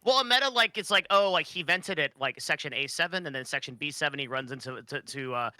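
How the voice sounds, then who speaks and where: A man in a casual podcast chat rambles through his point, restarting again and again. He talks very fast with a sharp, bright, tense tone, close and dry on the mic. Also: on the high side, lively intonation, dry recording. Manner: rambling, full of fillers and restarts. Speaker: podcast guest.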